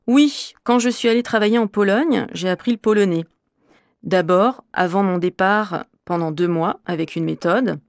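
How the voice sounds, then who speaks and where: A middle-aged female French teacher, played by a woman explains herself articulately in a rehearsed interview for a language course. Her pitch sits in the middle range. The recording is dry and close, with no room sound. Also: moderate pace, no room sound, natural voice. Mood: composed. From Normandy, France.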